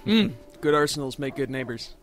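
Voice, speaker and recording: A man's voice: medium pitch, expressive, brisk. A man co-commentating on a video game in a casual, joking way, with dry wisecracks. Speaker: let's play co-commentator.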